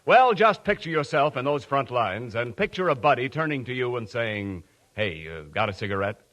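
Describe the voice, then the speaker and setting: A man's voice: mid-range voice, expressive, moderate pace, fairly bright tone. A man announcing in scripted 1940s radio advertising style, with earnest, emphatic salesmanship. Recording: old 1940s radio broadcast.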